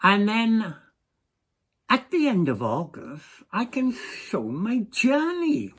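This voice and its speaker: An older Englishman telling a rehearsed anecdote in a put-on Welsh accent, with deliberate, singsong phrasing. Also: sits high, wildly swooping pitch, fairly bright tone, slightly rough. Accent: English speaker putting on a Welsh accent. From England.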